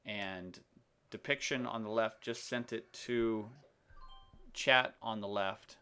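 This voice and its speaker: A man giving an unscripted screencast tutorial in a halting, explanatory, step-by-step manner. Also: fairly low pitch, steady tone, sharp tone, smooth. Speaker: software demonstrator. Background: keyboard typing and mouse clicks.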